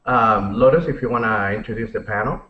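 A man in his forties opening a web show on a webcam call, excited and joking as he introduces the panel. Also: fairly low pitch, brisk, a little hiss or hum. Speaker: show host. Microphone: webcam video call. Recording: muffled roomy webcam audio.

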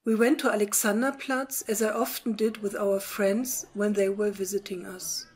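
A woman recounts her memories in the first person. Her voice is husky and rough-edged, at a medium pitch. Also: fairly even intonation, moderate pace, a little mellow. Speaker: eyewitness recalling memories.

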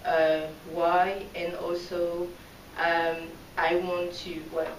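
A young woman from Cameroon answers a casting panel in her twenties' easy, earnest way, now and then tripping into fillers and restarts. Her voice sits a little low. A camera picks her up from across an echoey meeting room, with steady hiss underneath. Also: slow, far from the mic. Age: 20s. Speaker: pageant contestant. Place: meeting room with a red wall. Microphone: far camera.

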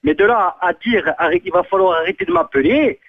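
A man in a heated argument over the telephone, emphatic and repetitive, quick to anger. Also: high voice, very animated, vocal fry. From Corsica, France. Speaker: prank call victim. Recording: dull lo-fi phone line.

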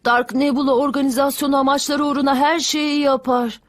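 A woman's voice: high voice, flat delivery, brisk, no room sound, lightly acted. A woman voicing a small, earnest young boy with a vulnerable, friendly manner in a dubbed cartoon. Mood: anguished, fearful.

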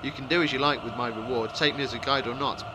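A man voicing a calm, secretive and wary wandering stranger, with a brisk, commanding and ominous way of talking, as in a fantasy storybook. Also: mid-range voice, lightly acted. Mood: urgent, ominous.

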